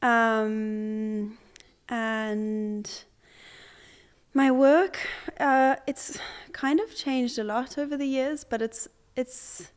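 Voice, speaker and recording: A young woman from Zimbabwe, an artist, answering interview questions in a relaxed way with many pauses, fillers and restarts. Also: on the high side, clear, resonant tone. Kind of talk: conversational interview.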